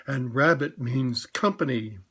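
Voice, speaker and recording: A man voicing a slow, humming, food-loving bear, with a low, unhurried, sing-song manner. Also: a bit bright, breathy edge. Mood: musing.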